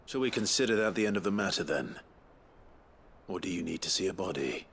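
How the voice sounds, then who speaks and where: An actor playing a stoic, guarded hero in a video game, speaking tersely and steadily with a British accent. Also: male voice, sits low, animated, creaky, a bit bright, slight background noise, heavily acted. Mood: cool, guarded. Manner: terse, measured. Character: stoic, guarded swordsman hero, quietly principled.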